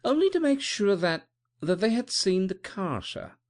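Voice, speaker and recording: A man's voice: high-pitched, sing-song, measured pace, pitched above the performer's own voice. A man voicing a deferential, watchful butler in an audiobook, speaking in a polite and formal way. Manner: polite and formal, saying sir often. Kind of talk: audiobook character voice.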